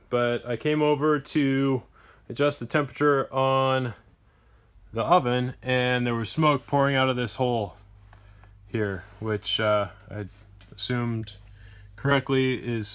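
A man's voice: mid-range voice, fairly bright tone. A young man talking plainly through his metalworking steps in a basement workshop, with frequent ums and uhs. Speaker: metalworking vlogger. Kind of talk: casual workshop narration.

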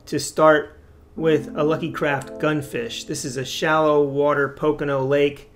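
A middle-aged man hosting a fishing video, explaining and narrating in a plain-spoken way. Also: mid-range voice. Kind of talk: explanatory vlog talk and narration.

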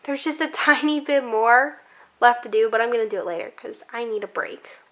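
A woman's voice: on the high side, steady tone, fairly quick. A young woman casually narrating a craft project on her home channel, chatty and upbeat with light laughs.

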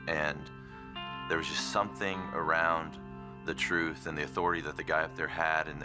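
A man telling his personal faith testimony in a halting, reflective way, often restarting his phrases. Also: deep voice. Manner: halting, many restarts and fillers. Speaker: testimony speaker. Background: guitar music.

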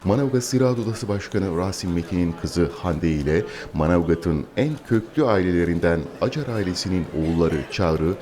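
A man's voice: low-pitched, expressive, moderate pace, hardly any pauses, mellow. A man reading scripted narration as a news reporter. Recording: music under the voice. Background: music.

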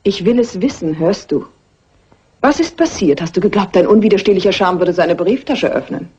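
A willful, teasing heiress with a husky voice that swings freely in pitch. She is cool and imperious, in dull vintage film-dub sound. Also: expressive, normal speed, warm tone. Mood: cool, accusing. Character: self-assured, willful, teasing young wife and heiress. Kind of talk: German film dub acting.

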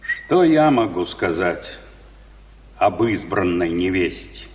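An actor playing a grave, wary village shepherd in a radio play, speaking in a measured, poetic, theatrical way. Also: male voice, low voice, expressive. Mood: guarded. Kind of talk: classic Russian radio play acting. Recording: distant, very reverberant radio drama with music.

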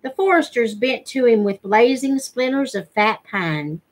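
A middle-aged woman reads fiction aloud with a fairly high voice. Her pace is slow and measured, and she gives the narration expression. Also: lively intonation. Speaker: reader. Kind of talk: read-aloud storytelling from a novel. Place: living room at home. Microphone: webcam at desk distance.

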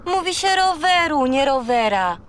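A chatty, cheeky lady seabird from a children's radio story. The voice is piercingly high and drawn out, with a brash know-it-all manner. There is faint noise behind it. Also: very animated, a little slow. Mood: correcting. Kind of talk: children's audio play acting.

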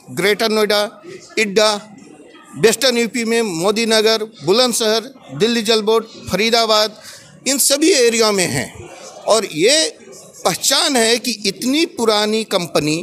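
A middle-aged man with an Indian English accent presents his company at a trade-show booth, speaking into a handheld microphone. His voice sits high, and he talks slowly, ticking through items one after another. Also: fairly bright tone. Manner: explains point by point in lists, mixing Hindi and English. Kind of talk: sales presentation to a visitor. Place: large indoor exhibition hall booth.

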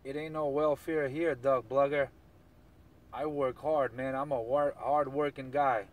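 A man's voice: medium pitch, fairly even intonation, a little slow. A man chatting casually in CB radio slang, often repeating his phrases. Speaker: CB radio operator. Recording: distant mic, noisy radio hiss.

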